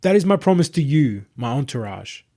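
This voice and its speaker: A man voicing a smooth, possessed internet idol who preaches to followers with calm, husky, grandiose assurance in an audio short story. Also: medium pitch, brisk. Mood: soothing, preaching. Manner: calm, composed, grandiose, soothing patter to followers.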